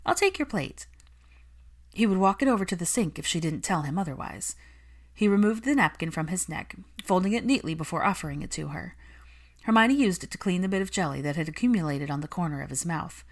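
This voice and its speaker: Woman, story narrator, scripted reading, measured delivery, highly varied melody, lower-leaning pitch. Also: lively intonation. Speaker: fan fiction audiobook narrator.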